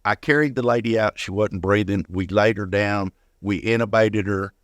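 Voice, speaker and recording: A man's voice: lowish voice, normal speed. An older man speaking as an interview guest, telling personal stories casually and often repeating words as he goes. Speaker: guest, retired firefighter.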